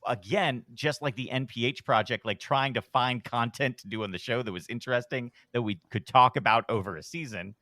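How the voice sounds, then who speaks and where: A middle-aged man with a deep voice, telling a long rambling story on a podcast in a relaxed, self-deprecating way. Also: fairly quick, hardly any pauses, no room sound. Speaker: podcast host, guest. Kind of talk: casual storytelling.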